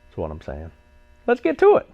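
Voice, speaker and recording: A hoarse man hosting a casual product review vlog, folksy and joking with tongue-in-cheek humor. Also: sits low, wildly swooping pitch, brisk, no room sound, highs cut off. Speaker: vlog host.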